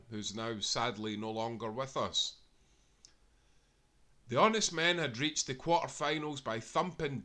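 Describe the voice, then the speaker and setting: A man reading a football history book aloud in a steady, measured way, speaking as a proud supporter of his club. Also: mid-range voice. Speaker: audiobook reader.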